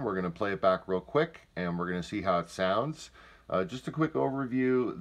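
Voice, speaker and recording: A man giving a casual, plain-spoken product demonstration indoors, speaking informally from off camera. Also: sits low.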